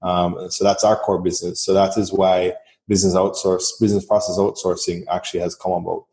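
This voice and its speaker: Jamaican guy on a podcast, really low warm voice, keeps repeating words as he talks. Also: little pitch movement, a little fast. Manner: fluent, repeats words while finding his point. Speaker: business process outsourcing guest. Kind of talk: podcast interview.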